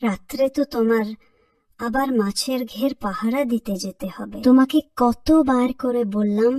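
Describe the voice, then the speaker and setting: A woman's voice: on the high side, normal speed, dark tone, no room sound, far from the mic, natural voice. A woman playing a caring but nagging, anxious village wife in a cartoon folk tale. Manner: fussing, admonishing.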